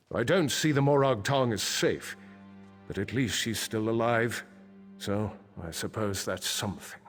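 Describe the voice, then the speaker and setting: A man's voice: mid-range voice, lightly acted. Grave, worried noble father played by an actor, over music. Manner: formal, grave, stiff. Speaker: councilor. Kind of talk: video game character dialogue.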